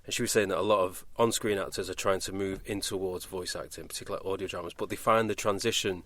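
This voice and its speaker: Very fast-talking male podcast host. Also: low voice, fairly even intonation, fairly bright tone, dry recording. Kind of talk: casual chat.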